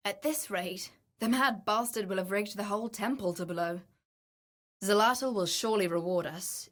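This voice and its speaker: A woman playing a wry, adventurous treasure-seeking explorer in a video game, speaking in a measured, theatrical, knowing way. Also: mid-range voice, close to an everyday voice. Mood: wry, exasperated, then dry, musing. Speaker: game companion character. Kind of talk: scripted video game voice lines.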